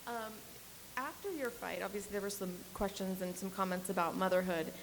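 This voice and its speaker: A female reporter asking soft, hesitant questions at a press session. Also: medium pitch, a little fast. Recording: echoey hall from a distance with faint hiss.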